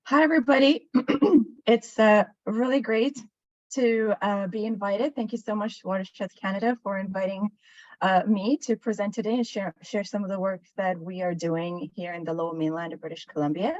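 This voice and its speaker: A woman's voice: mid-range voice, fairly even intonation, normal speed, dry recording, highs cut off. A woman from Sri Lanka, raised in Dubai, giving a webinar talk in a storytelling way, with many fillers and restarts. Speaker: guest presenter. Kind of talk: webinar presentation.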